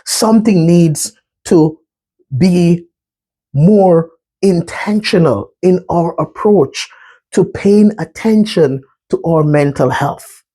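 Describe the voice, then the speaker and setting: Slow, husky Jamaican man presenting. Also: high-pitched, warm tone. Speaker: mental health speaker. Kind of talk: slide presentation talk.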